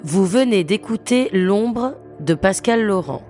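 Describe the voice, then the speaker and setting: A woman narrating a scripted literary audio drama in a formal, measured storytelling manner. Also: medium pitch, animated, warm tone, soft background music.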